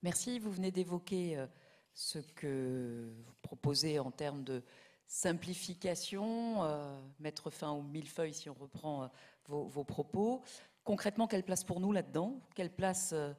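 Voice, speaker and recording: A woman moderating a formal hearing, putting questions in a halting way with pauses as she phrases them. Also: deep voice, lively intonation, darkish tone.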